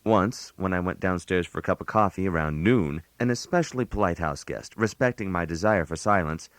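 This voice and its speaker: A put-upon, fussy host delivers a comic radio monologue in a low, close and dry voice. He is precise and mock-formal, handing out genteel etiquette advice with dry wit. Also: animated, fairly quick, dry recording. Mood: wry, exasperated. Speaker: narrator. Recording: analog radio aircheck.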